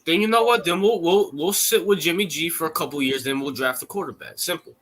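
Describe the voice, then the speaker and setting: A man in his early twenties in a casual sports debate, brash and excitable and swearing freely. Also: a little high, brisk. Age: 20s.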